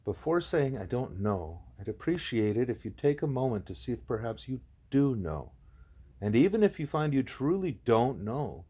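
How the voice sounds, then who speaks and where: A man voicing a calm, wise male gorilla teacher from a storybook, speaking in measured, formal, patient phrases. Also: medium pitch, husky. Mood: patient, didactic.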